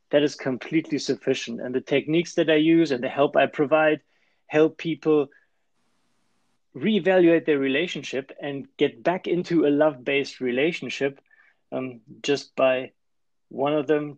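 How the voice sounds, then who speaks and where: Guest coach, male, German accent, somewhat high-pitched gravelly voice, close dry recording. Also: darkish tone, no echo, dull audio. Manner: explanatory, restarts phrases. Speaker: life and performance coach, guest. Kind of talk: podcast interview.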